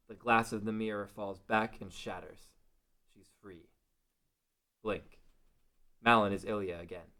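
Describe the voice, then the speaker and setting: A man's voice: lowish voice, bright, forceful tone. A man reading scripted story narration as the narrator of a fantasy table read.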